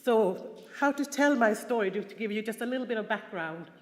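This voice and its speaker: A woman from Sweden with a Swedish accent, giving an earnest, halting personal talk at a conference. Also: on the high side, lively intonation. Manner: earnest, halting, frequent restarts and fillers. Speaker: conference speaker. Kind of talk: personal testimony talk with slides. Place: large conference hall. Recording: echoey hall, long reverb.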